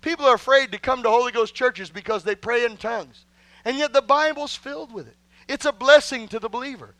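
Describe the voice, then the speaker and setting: A male pastor preaching a sermon to his church, emphatic, with folksy humor and bursts of shouting. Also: very high-pitched, fairly quick, dry recording. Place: church sanctuary.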